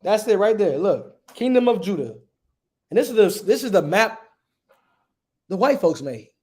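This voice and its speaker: A man's voice: sing-song, normal speed, a little mellow. Emphatic preachy man teaching a class. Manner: emphatic and preachy, asks "right?" often and says "boom". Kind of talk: livestreamed religious history class, reading aloud and preaching.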